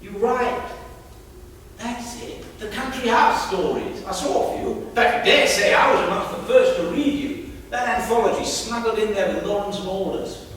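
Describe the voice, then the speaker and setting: A man's voice: high voice, normal speed, a bit bright, slight background noise. An actor playing a drunk, nosy upper-class man at a party, theatrical and sly. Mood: prying, teasing. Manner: theatrical, sly, drawling with sudden outbursts. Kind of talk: stage play performance. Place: theatre stage. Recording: echoey theatre stage from far off.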